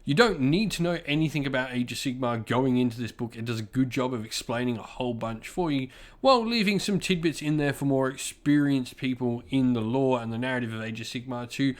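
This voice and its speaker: A man's voice: medium pitch, a little fast. Man giving an enthusiastic, informal review. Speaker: book reviewer, channel host.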